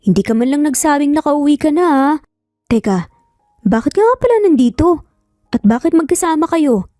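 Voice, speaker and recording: A woman's voice: high voice, lively intonation, normal speed, warm tone, no room sound, clean studio sound, faint music behind, pitched above the performer's own voice. A woman voicing a breezy, curious man with a casual Filipino accent, mixing in English, in an audio story. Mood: cheerful, curious. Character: breezy, curious male cousin and best friend.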